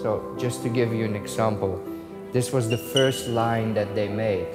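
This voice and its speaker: Store owner, man from the Netherlands, low voice, lively casual tour narration over music. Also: unhurried. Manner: enthusiastic storyteller, acts out phone calls. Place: inside a large shop.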